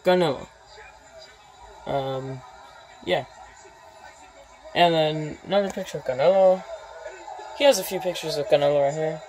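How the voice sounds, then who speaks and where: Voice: mid-range voice, a little slow, pauses often, a little mellow, poor audio. A vlogger casually narrating a tour from behind a handheld phone in a room full of posters, listing items with many ums and uhs. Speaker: vlogger giving a home tour.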